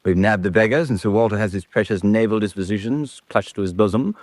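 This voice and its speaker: A man's voice: on the low side, quick pace, a little mellow, no echo, lightly acted. Jaunty, self-satisfied officer type, a man acting. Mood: smug. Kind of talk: vintage radio drama acting.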